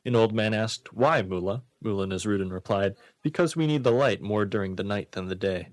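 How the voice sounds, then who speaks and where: Low-voiced man hosting radio comedy. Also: fairly quick, dry recording. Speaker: radio comedy show host. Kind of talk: reading jokes, casual radio banter. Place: radio studio.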